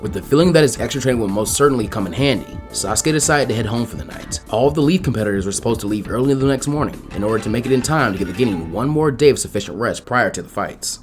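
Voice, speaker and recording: A man narrates fan fiction in an expressive style. His medium-pitched voice moves quickly, with soft music playing behind it. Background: faint music.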